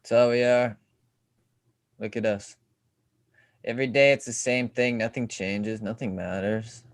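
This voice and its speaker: Male actor as hapless dreamer, aspiring writer, theatrical, excitable comedy character, screenplay table read. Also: medium pitch, a little slow, close to an everyday voice. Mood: bleak, despairing. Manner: theatrical, swinging between gushing and bleak.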